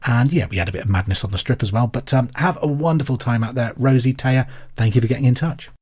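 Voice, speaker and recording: A man's voice: medium pitch, fast talker, a little mellow, breathy edge. An English man hosting a quiz podcast, chatting in an upbeat, friendly, conversational way as he presents and reads out messages. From England. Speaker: podcast host and quizmaster.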